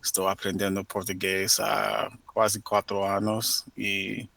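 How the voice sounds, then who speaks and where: Casual: american guy, english native, low voice, pretty flat delivery, stops a lot with little "ah"s, dry close sound. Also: gravelly, dry recording. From United States (Maryland). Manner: careful learner's speech with frequent "ah" pauses. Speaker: interview guest, Portuguese learner. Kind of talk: podcast interview.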